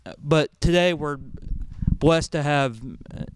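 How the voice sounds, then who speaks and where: A man's voice: on the high side, slow pace, clean tone, dry recording. A man from North Carolina chatting casually as a regular panel member on a show.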